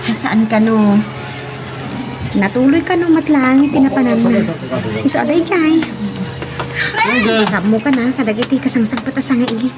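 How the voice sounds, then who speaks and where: A woman's voice: fairly high pitch, moderate pace, long pauses, music in the background, close to an everyday voice. A woman playing a cheerful young restaurant owner in an Ilocano radio drama, with a Filipino accent. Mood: chatty, excited.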